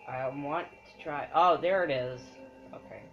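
A man's voice: fairly high pitch, a little slow, slight background noise. A man giving casual, offhand gameplay commentary, muttering small exclamations as he plays. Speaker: let's play commentator. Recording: dull lo-fi camera recording of TV. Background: loud game music.

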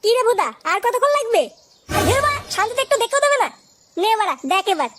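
A performer voicing a crude, cheeky, excitable cartoon boy in a brash, blustering manner. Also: very high-pitched, fast talker. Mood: impatient. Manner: brash, foul-mouthed, blustering. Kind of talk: cartoon comedy acting. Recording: loud background noise.

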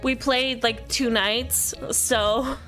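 A woman's voice: a little high, fairly even intonation, extremely slow, sharp tone, soft background music, poor audio. A woman giving a casual walkthrough commentary of a video game. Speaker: gaming video presenter.

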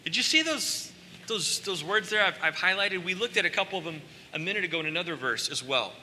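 A middle-aged man, born in Costa Rica, preaching a conversational sermon on a large church stage with animated asides. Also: high-pitched, fairly quick, slight background noise. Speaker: pastor. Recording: long hall reverb.

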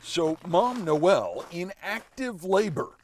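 Expressive male news reporter. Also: high voice, takes it slowly, slight background noise.

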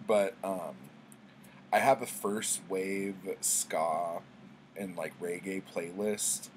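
A man's voice: sits low, fairly even intonation, very slow, noisy background. A middle-aged man chatting casually to camera as a book vlogger, rambling in a laid-back, slangy, sweary way. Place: small book-lined room at home.

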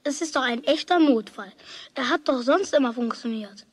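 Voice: sits high, normal speed, no echo. An actor playing a stubborn, jealous schoolboy in a children's radio play, grumbling indignantly to himself. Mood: frustrated. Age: child figure.

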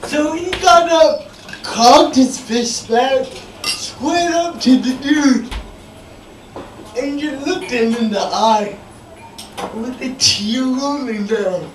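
A young man performing stand-up comedy stories in a halting, drawn-out, effortful delivery. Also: very high-pitched. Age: young adult. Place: small dim comedy club stage indoors. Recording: noisy, echoey live recording from far off.

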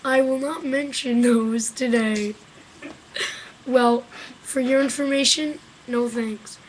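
A woman's voice: fairly high pitch, steady tone, slow, a little hiss or hum, voice pushed down, low-quality recording. A woman voicing a blunt, dismissive monkey policeman puppet in a homemade webcam puppet show from a small bedroom.

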